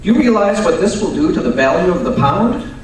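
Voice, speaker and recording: A fairly high, very animated voice, a man acting an irritable, imperious Victorian detective in an old-time radio play. He is curt and emphatic, heard in a strongly echoing room. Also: fairly quick. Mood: indignant. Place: live performance at a radio club event. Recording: roomy, distant live stage recording with hiss.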